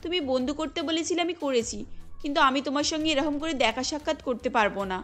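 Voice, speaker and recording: A woman's voice: sits high, fast, fairly bright tone. A woman voicing a sad, hesitant young hijra in a cartoon story, plaintive and questioning, over music. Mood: reluctant, troubled. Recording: music behind the voice.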